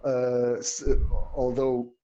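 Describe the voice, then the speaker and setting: Halting man, mellow tone. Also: medium pitch, completely flat delivery, low-quality recording. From Syria. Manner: halting, searching for words. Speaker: guest viewer. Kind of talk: casual political discussion.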